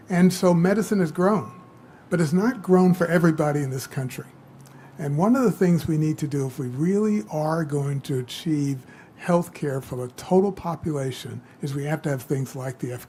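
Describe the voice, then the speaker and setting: A man in his seventies with a slightly high voice speaks as a medical dean at a public meeting. His delivery is measured and deliberate, with faint noise in the room behind him. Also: darkish tone. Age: 70s. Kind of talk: informal prepared remarks at a public roundtable. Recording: live event microphone with background room noise.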